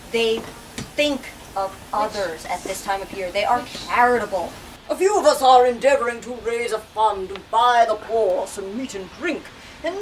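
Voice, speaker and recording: A woman leading a lively book talk in a bookstore, asking questions and switching into dramatic readings. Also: a little high. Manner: animated, asks questions, shifts into dramatic reading. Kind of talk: dramatic reading and discussion with children. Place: bookstore event space. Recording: distant mic, noisy room.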